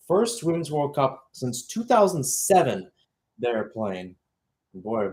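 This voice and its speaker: A man's voice: medium pitch, slightly rough. A young man giving live radio-style soccer play-by-play, talking conversationally and filling in background detail between calls. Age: young adult.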